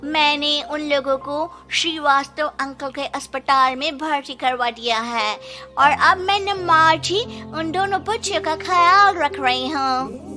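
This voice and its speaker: A selfless young cartoon daughter-in-law, acted by a woman speaks slowly in a high voice. She is earnest and pleading, as if she cares for everyone, with faint music behind her. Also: pushed and bright. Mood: caring. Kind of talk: Hindi moral-story cartoon dubbing.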